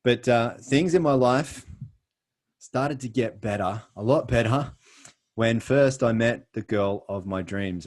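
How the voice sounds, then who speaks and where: A middle-aged Australian man giving an earnest, emphatic sales presentation over a video call, with frequent pauses. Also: fairly low pitch, a little mellow.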